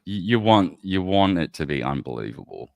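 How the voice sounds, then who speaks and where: A man hosting a casual conversation, relaxed and agreeable in his replies. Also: low voice, normal speed, vocal fry. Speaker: podcast host.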